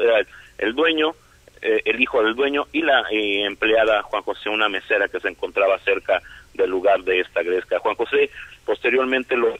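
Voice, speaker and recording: A man phoning in a detailed news report, steady with small fillers. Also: mid-range voice, no room sound. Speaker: field reporter. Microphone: telephone. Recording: tinny dry phone line.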